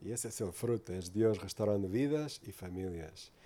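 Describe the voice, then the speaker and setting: A man's voice: lowish voice, animated, moderate pace, mellow. A man from Portugal preaching in Spanish with a Portuguese accent, giving an earnest, informal sermon full of tag questions.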